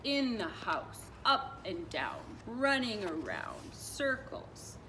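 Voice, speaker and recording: A woman reading a picture book aloud like a teacher, expressive, stretching and stressing her phrases for children. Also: medium pitch. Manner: expressive, drawn-out phrasing with emphasis. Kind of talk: animated children's storytelling. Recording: distant mic with clear background noise.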